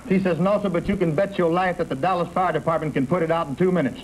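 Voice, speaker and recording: A man's voice: sits high, steady tone, fast, low-quality recording. Folksy man spinning a yarn. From Texas. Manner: folksy, rambling storyteller. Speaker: quiz show contestant. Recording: 1950s broadcast recording with faint hiss.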